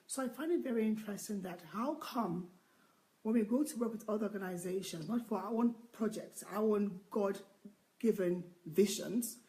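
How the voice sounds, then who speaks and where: A soft-spoken woman sharing her view in a book discussion, quiet and earnest with some hesitations. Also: medium pitch, low-quality recording. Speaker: book club participant. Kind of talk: discussion contribution.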